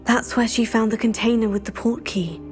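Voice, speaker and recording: A woman's voice: on the high side, steady tone, normal speed, faint music behind, lightly acted. An actress playing an earnest, curious young student witch, in a video game cutscene.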